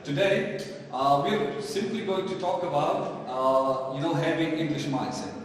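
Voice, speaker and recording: A man's voice: fairly high pitch, unhurried. A man with a Pakistani accent teaching a live online English lesson in a didactic way, often asking questions and answering them himself. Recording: very echoey hall, distant mic, faint noise.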